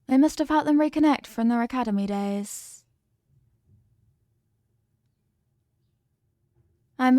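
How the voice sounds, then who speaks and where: A woman voicing a possessive, regal evil queen in a scripted ASMR roleplay, speaking formally and in measured phrases, doting yet coolly manipulative. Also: medium pitch, dry recording, clean studio sound, natural voice. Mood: coolly dismissive. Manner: formal, measured, softly commanding.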